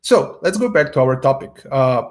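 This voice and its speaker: A man's voice: medium pitch, lively intonation, moderate pace. A man from Serbia, an English speaker, giving a conference talk over a video call in a storytelling way with frequent hesitations. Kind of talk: tech conference presentation.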